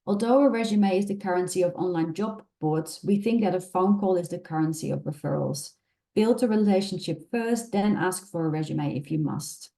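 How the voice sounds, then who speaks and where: A woman from the Netherlands with a Dutch accent, presenting a webinar over a video call in a clear, instructive way. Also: on the low side, normal speed, mellow.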